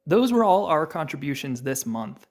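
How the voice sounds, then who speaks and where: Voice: medium pitch, sing-song, moderate pace. A podcast host giving a scripted outro, speaking directly to the listeners.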